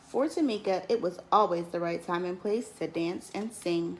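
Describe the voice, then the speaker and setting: A woman teacher reading a picture book aloud in an expressive, lilting storytelling manner. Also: on the low side, normal speed, background noise. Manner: expressive, lilting storytelling with lifts on key words.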